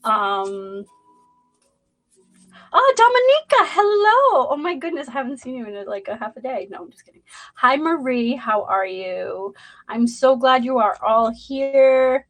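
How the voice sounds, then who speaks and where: A woman's voice: high voice, animated, a little slow, a bit bright. A woman with a Boston accent hosting a zodiac reading livestream, chatting loosely with many fillers and greeting viewers in the chat. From Boston, USA. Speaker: tarot reader hosting a livestream.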